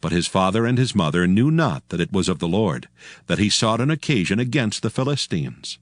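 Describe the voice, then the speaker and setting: A man narrating dramatized scripture as a solemn, measured storyteller. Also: fairly low pitch, sing-song, no echo, studio quality.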